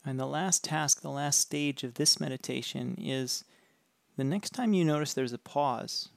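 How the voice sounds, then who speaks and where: A man leading a guided meditation with calm, gentle, unhurried instructions. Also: mid-range voice, vocal fry, no echo.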